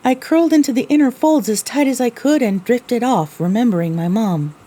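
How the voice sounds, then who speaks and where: A woman's voice: a little high, a little fast, close to an everyday voice. A woman playing a young female pony, a weary, sarcastic wasteland survivor narrating her own story expressively in an audio drama. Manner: expressive, theatrical. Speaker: narrator and lead character.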